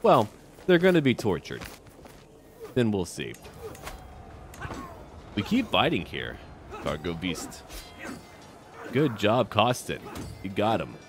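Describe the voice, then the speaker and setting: Low-pitched man narrating strategy gameplay. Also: huge pitch swings, takes it slowly, long pauses, over music. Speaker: gaming video host. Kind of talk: roleplay strategy game commentary.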